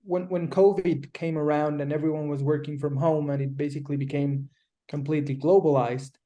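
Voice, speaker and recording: Mellow-voiced Colombian man. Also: a little high, steady tone. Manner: measured, explanatory, frequent restarts. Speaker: guest. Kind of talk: conversational interview answers.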